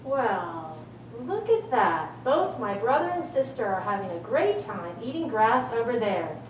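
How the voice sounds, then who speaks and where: A big, cocky goat from a children's puppet show, acted by a woman, talks with a teasing swagger. The voice swoops widely and is fairly high for a woman, in an echoey room. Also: very animated, unhurried. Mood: teasing, breezy. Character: big confident billy goat, teasing older brother. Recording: roomy, distant mic, faint noise.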